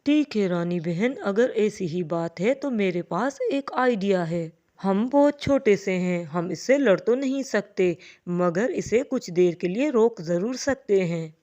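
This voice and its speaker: A woman's voice: medium pitch, lively intonation, unhurried, few pauses, warm tone, lightly acted. A woman voicing a practical, firm male pigeon in a children's cartoon. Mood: resolved. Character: male pigeon, practical friend of a mother bird.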